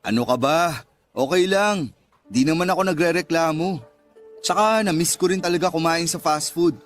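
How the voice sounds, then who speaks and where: A man's voice: on the high side, moderate pace, soft background music, lightly acted. An actor playing a kind, frank and easygoing male suitor in a Filipino radio drama. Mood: light, friendly.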